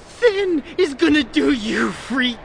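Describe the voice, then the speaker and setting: An actor playing a crude, thuggish young gang wannabe who whines and shouts in an audio drama. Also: male voice, very high-pitched, big pitch swings, slow, creaky, heavily acted. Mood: panicked rage. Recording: very noisy audio drama action clip.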